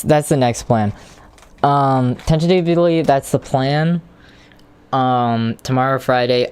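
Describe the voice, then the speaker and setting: A man's voice: mid-range voice, smooth. A man casually rambling on a live stream, hesitating with lots of ums and restarts while explaining tech steps to viewers.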